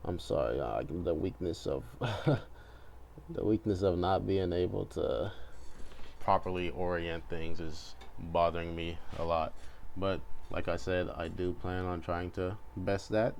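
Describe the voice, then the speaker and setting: A young man with a deep, low voice talks off the cuff in a laid-back, meandering way, like a creator chatting while he works. He hesitates and thinks out loud. It is a home recording with faint noise behind him. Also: slow pace, vocal fry. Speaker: video creator and host. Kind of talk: casual rambling chat while drawing. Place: bedroom at home, indoors.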